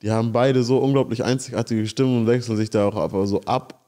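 A man's voice: mid-range voice, steady tone, fast talker, warm tone. A man telling stories in a laid-back casual chat, full of slang and English words.

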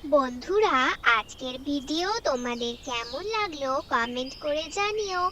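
A woman's voice: sits high, animated, measured pace. A woman presenter addressing young viewers with a scripted sign-off for a children's channel. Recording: quiet voice under clear background noise.

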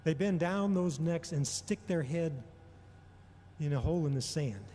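A middle-aged man delivering a prepared homily in a measured, deliberate way, pausing often between phrases. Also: fairly high pitch, warm tone, slight background noise. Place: large indoor church or hall. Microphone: gooseneck microphone on a lectern. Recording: long reverberation of a large hall.

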